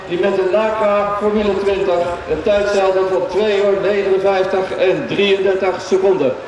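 A man announcing enthusiastically to a crowd over a public-address system at an outdoor sailing event. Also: high voice. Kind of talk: live public-address race commentary and prize-giving. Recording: very echoey, distant PA speaker, noisy outdoors.

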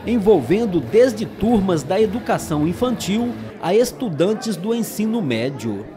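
High, lively male news voice-over. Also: music in the background. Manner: formal, measured voice-over. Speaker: news reporter. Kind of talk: scripted news narration.

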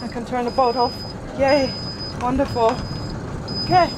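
A woman's voice: high-pitched, fairly even intonation, unhurried, very noisy. A woman in her thirties hosting a sailing vlog, talking casually to a camera held at arm's length and laughing often. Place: outdoor market and sailboat.

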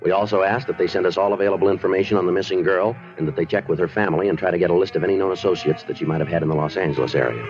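Deep, clipped American police detective, played by a man. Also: rapid-fire, hardly any pauses, over music, close to an everyday voice. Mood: businesslike. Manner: clipped, deadpan. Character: terse, patient male police detective, businesslike. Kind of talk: 1950s radio drama acting and narration. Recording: dull vintage radio recording.

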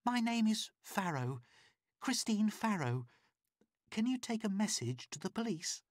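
A man reading a storybook, voicing a frightened captive woman who pleads in a high, anxious voice. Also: big pitch swings, unhurried, no echo, studio quality, full character voice. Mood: anxious pleading.

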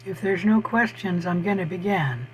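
A middle-aged man with a hoarse voice, teaching a college biology class on a video call, speaking haltingly with frequent pauses. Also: high-pitched, expressive, slight background noise, poor audio. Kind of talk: online lecture over screen share. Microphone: computer mic on a video call.

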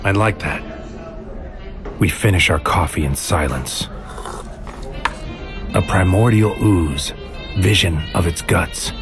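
An actor playing a brooding man on a surreal mission, narrating in clipped, poetic, deliberate phrases like a noir audio drama. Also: low voice. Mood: brooding, intense. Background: music.

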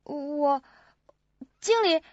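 A woman playing a timid young employee who speaks in a halting, stammering way in a radio drama. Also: high-pitched, expressive, heavily acted. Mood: nervous, hesitant. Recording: muffled audio with loud noise.

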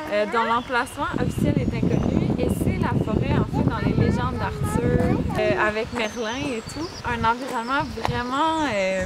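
A woman in her thirties with a Québécois accent talks easily to a camera set a few feet away. Her voice sits a little high, relaxed and chatty, with frequent small hesitations. Loud open-air ambience runs behind her. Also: very noisy. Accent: Québécois French. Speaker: travel vlogger. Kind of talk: casual chat to camera. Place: outdoors in a park and parking area.

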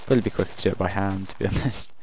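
A man casually commenting while he builds in a video game, thinking aloud and rambling, his voice croaky from the late hour. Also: sits low, steady tone, rapid-fire, a little mellow, slight background noise. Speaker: gaming video creator.

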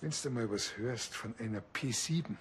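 A man's voice: medium pitch, normal speed, natural voice. Nagging Bavarian policeman played by a man, noisy surroundings. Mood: dry, worried. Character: nagging, worrying male small-town police officer.

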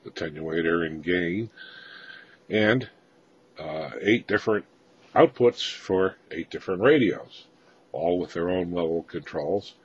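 A man giving an informal, unscripted walkthrough of equipment, pausing often mid-phrase as a hobbyist presenter. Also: low-pitched. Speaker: hobbyist presenter of radio gear. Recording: dull lo-fi sound with faint noise.

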